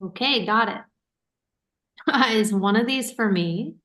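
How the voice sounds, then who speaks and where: Actress as a dry, teasing older sister. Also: female voice, fairly high pitch, takes it slowly, fairly bright tone. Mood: playful, affectionate. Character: teasing, affectionate older sister, young adult. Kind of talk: staged reading of a contemporary play.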